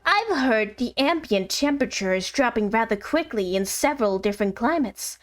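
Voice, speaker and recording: A woman voicing a calm, courteous rainforest dweller, reading a formal game letter in a measured, earnest way. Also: a little high, soft background music, pitched below the performer's own voice, lightly acted.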